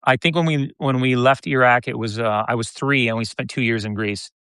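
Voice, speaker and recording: An American man in his fifties talking casually as an interview guest, often halting and restarting his phrases. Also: mid-range voice, steady tone, fairly bright tone, clear, resonant tone, no echo. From United States (Detroit). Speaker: podcast guest, content creator.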